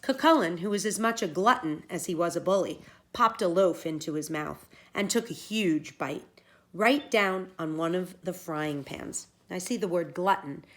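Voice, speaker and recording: A woman's voice: lowish voice, normal speed. A woman teacher reading a story aloud to children, expressively and with explanation.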